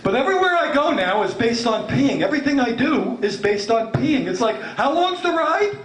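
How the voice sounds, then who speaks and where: A man's voice: very high-pitched, very animated, moderate pace. A man in his late fifties with a New York accent doing a stand-up comedy set, brash and self-mocking, swearing freely and acting out the voices he quotes. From Long Island, New York. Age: 50s. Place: comedy club stage before a laughing audience. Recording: echoey club room, loud background noise.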